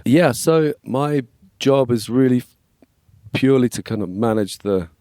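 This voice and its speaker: An English man in his forties with a slightly scratchy voice, chatting casually as a podcast guest and telling stories in a relaxed way. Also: mid-range voice, measured pace, dry recording, studio quality. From England. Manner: relaxed, storytelling, frequent "yeah, man". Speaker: guest, worship label manager. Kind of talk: casual conversation.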